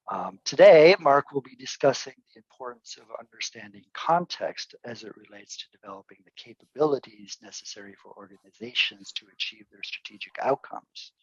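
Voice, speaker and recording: A man's voice: on the low side, husky, dry recording, highs cut off. A man introducing a guest speaker as host of an online talk, with a modest, self-deprecating manner.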